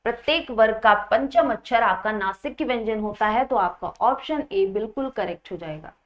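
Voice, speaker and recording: Female exam-prep teacher, fairly high voice, instructional, repeats points and puts questions to students; slightly muffled lo-fi sound. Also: animated, normal speed. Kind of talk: Hindi grammar lesson, explaining quiz questions.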